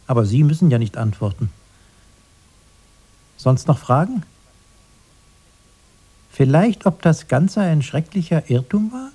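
Radio play part: plaintive doubter, polite yet pointed, dark-toned husky voice, highly animated. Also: male voice, a little slow, long pauses. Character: plaintive, doubting man politely addressing an interviewer. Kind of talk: radio drama acting.

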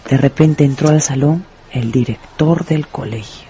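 A man's voice: fairly high pitch, a little slow, mellow, a little hiss or hum. A man narrating a scripted story dramatically for radio. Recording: music behind the voice.

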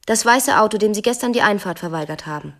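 An actress playing an unhappy, drinking, imperious wife in a radio drama, moving between hesitant murmurs and sharp commands. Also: female voice, medium pitch, lively intonation, quick pace. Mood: sharp, commanding. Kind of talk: radio play acting.

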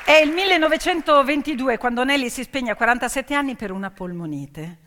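A woman delivering a theatrical narrative monologue, dramatic and emphatic, swinging between hushed and loud delivery. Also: high-pitched, lively intonation, fairly quick, crowd noise, background noise. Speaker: stage actress and storyteller. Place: theatre or hall stage. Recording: long hall reverb, music behind. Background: piano music.

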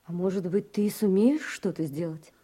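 Soft, mellow, husky young woman. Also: lowish voice, normal speed. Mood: tentative, coaxing. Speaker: actor in a radio play. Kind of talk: Soviet-era radio drama acting.

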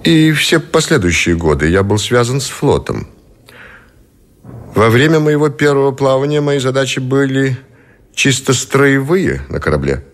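An actor playing a captive middle-aged admiral who gives formal, measured, dignified testimony in a radio play. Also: male voice, mid-range voice, lively intonation, lightly acted. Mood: dignified, reflective.

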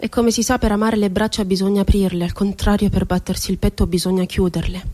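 An actress performing a theatrical monologue as an impassioned, rebellious, poetic woman, declaiming with deliberate, emphatic phrasing. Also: medium pitch, little pitch movement, mellow, natural voice. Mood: bitter, indignant. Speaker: stage actress.

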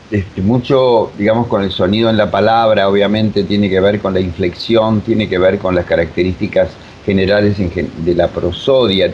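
A mature man with an Argentine accent explaining reflectively as an interview guest, with emphatic repetition and deliberate pauses. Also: lowish voice, a little mellow. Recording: dull lo-fi sound, faint noise.

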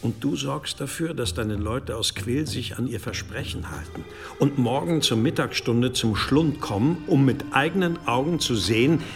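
An actor playing a stern, commanding elderly abbot in a medieval radio drama, admonishing with authority. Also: male voice, mid-range voice, moderate pace, gravelly, hardly any pauses, faint music behind, lightly acted. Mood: grudging, commanding. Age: older. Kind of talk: German radio drama acting.

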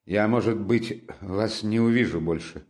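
A brooding young man from a storybook reading, sullen and curt, with a somewhat low voice. He is played by the male reader in his own register. The tone stays dark and withdrawn. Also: measured pace, lightly acted. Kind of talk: audiobook character reading.

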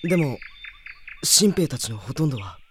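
An actor playing a polite, loyal young mage aide in a radio drama, hesitant and deferential. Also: male voice, medium pitch, big pitch swings, normal speed, slight background noise, lightly acted. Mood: subdued. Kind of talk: Japanese radio drama acting.

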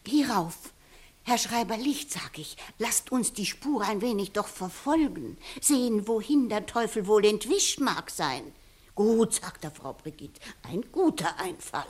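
Earnest village woman, superstitious witness, classic radio play. Slow, emphatic, dramatic, slightly husky delivery. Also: medium pitch, lively intonation. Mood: suspenseful. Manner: dramatic, emphatic, drawn-out storytelling with hushed passages. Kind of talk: 1960s German classical comedy radio play acting.

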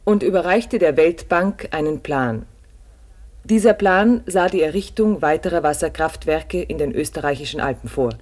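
A man's voice: fairly high pitch, animated, normal speed, darkish tone. A man narrating a scripted radio documentary drama in a solemn, literary manner.

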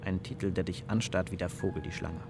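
Young guy in a radio play, ironic, questioning everything, flippant, clear music bed behind him. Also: low-pitched, fairly even intonation, a little fast. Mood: flippant, musing. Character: sceptical, flippant young man, needling his partner with questions. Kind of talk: German radio play dialogue.

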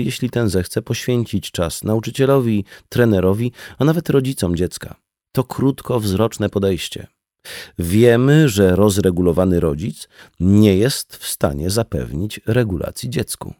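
A man's voice: on the low side, expressive, moderate pace, warm tone, no echo, studio quality. A man reading a scripted audiobook dialogue as a narrator. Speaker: audiobook reader.

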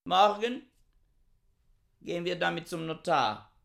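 Ein Schauspieler spielt im Hörspiel einen pedantischen, eigenbrötlerischen Gelehrten, der bedächtig und beharrlich spricht. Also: male voice, high voice, slow, creaky, a bit bright, heavily acted. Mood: stiff, insistent. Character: pedantic, stiff, bookish husband, a solitary male scholar. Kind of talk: literary radio play acting.

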